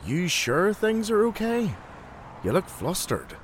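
An actor playing a sober, considerate young friend in an audio drama, with a dry, wry way of talking. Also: male voice, high-pitched, huge pitch swings, slow pace, slight background noise, full character voice. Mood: concerned.

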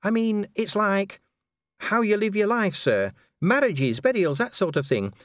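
A man's voice: high voice, expressive, measured pace, fairly bright tone, no echo, studio quality, voice pushed up. A man voicing an anxious young female dwarf in an audiobook, polite, earnest and deferential as she explains. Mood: worried, deferential. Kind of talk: audiobook character dialogue.

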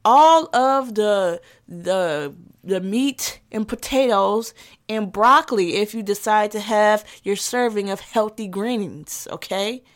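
A woman's voice: mid-range voice, takes it slowly. A woman vlogger with a lisp, ranting in a sarcastic, sassy way as she gives comic advice. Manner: sassy, sarcastic, heated rants. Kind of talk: comedic advice vlog.

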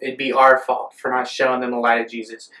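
A man's voice: mid-range voice, flat delivery, a little fast, pushed and bright. An earnest young man in his twenties speaks in a casual faith podcast, with some hesitation as he finds his words. His voice echoes around the room. He sounds distant from the microphone. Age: 20s. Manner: earnest, hesitant, uses fillers. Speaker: podcast co-host.

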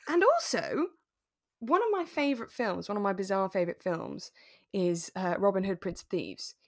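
A woman podcast co-host chats casually at a medium pitch. She leans on words and repeats them for emphasis. The sound is dry and close, with very little room in it. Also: sing-song, normal speed, no room sound. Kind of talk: casual chat about a radio drama.

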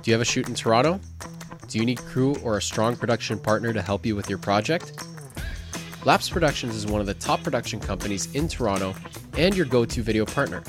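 Guy announcing the show intro, mid pitch, music clearly under it. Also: lively intonation, a little fast. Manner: announcer delivery. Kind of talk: scripted show intro and ad read.

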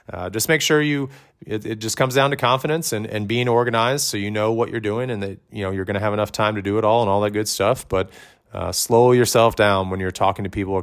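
Loud-voiced man coaching gym trainers. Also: lowish voice. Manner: conversational, many fillers, says his voice is loud. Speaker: fitness coach. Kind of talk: instructional podcast talk.

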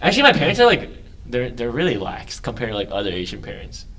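A young man from Maryland chatting casually and excitably with friends on a podcast, teasing and full of slang. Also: medium pitch, crowd noise. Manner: excitable, slangy, quick to tease. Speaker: podcast co-host. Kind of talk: casual chat.

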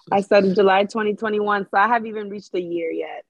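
A woman's voice: medium pitch, normal speed, gravelly, no echo. A woman from the Dominican Republic, raised in Maryland, talking about hosting as a podcast guest in an earnest, thoughtful way with many fillers. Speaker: guest, Airbnb host. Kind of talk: casual podcast chat. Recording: tinny phone-line sound.

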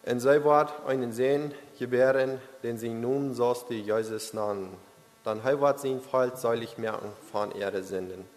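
A man giving a Christmas sermon, reading verses and explaining them haltingly, with frequent hesitations. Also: mid-range voice, fairly even intonation. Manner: halting, with many restarts and hesitations. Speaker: lay preacher. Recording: long reverb of a hall.

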